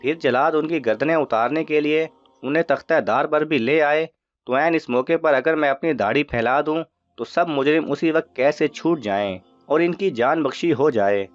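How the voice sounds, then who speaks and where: A man's voice: a little high, dry recording, natural voice. A man voicing a confident sultan in disguise in a children's story. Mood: assured. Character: confident disguised male sultan, bold leader of thieves. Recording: muffled audio with music underneath.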